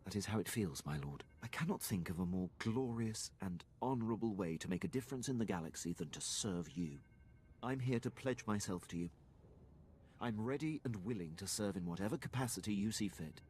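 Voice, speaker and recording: Man playing a low-voiced, devoted military officer. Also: expressive, brisk, a little mellow, slight background noise, natural voice. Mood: solemn, earnest. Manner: formal, deferential, precise, earnest. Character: earnest, loyal male Imperial military officer. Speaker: game character, military officer. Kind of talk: video game voice acting.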